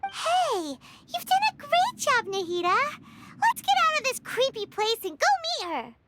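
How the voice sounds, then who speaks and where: Tiny fairy sidekick: very high squeaky child voice, excitable and cheerful, anime game dub, loud sound effects behind. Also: female voice, a little slow, very noisy, exaggerated voice. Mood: upbeat, encouraging. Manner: excitable, exclamatory, speaks of self by name.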